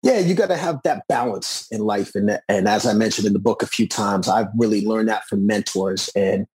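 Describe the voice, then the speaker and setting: A man's voice: lowish voice, normal speed, darkish tone, breathy edge, poor audio. A man, talking reflectively and earnestly as a musician in a conversational interview. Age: 40s. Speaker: musician and author being interviewed.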